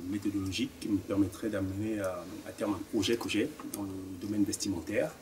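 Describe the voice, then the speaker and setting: A man from Gabon introducing himself to a group, plain and steady. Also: fairly low pitch, fast talker. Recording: distant mic, faint room noise.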